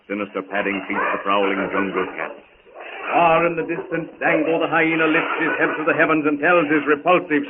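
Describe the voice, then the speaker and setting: A man narrating a jungle adventure serial in florid, theatrical 1930s radio style. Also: mid-range voice. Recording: tinny, hissy 1930s radio recording.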